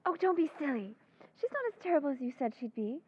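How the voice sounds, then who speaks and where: Woman acting a very high-voiced sweet young fiancée. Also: lively intonation, quick pace, dull audio. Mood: teasing. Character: sweet, demure young fiancée, gently teasing. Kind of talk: old-time radio drama acting.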